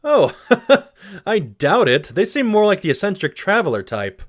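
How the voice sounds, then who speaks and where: Male actor as decisive but laid-back sci-fi captain, fairly high voice, lively wry delivery. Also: moderate pace. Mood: curious. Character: easygoing, curious, decisive male cargo ship captain.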